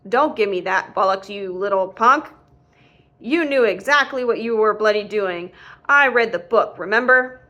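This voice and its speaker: A woman voicing an angry, exasperated father scolding his son in an audiobook, in a forceful manner. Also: mid-range voice, normal speed, highs cut off, natural voice. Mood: furious. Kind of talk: audiobook character dialogue.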